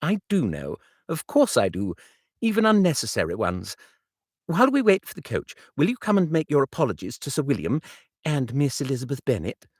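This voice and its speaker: A man doing a cheerful young gentleman, raised pitch. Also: wildly swooping pitch, brisk, slightly rough, no echo, studio quality. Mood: teasing.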